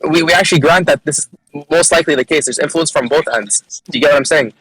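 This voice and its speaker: A man makes his case in a voice chat, talking quickly, repeating words and checking that the others follow. His voice sits somewhat high and sounds dry. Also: fairly bright tone, husky, no room sound, rough recording. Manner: rapid, repeats words, asks if listeners follow. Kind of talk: online voice-chat debate. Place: voice chat call.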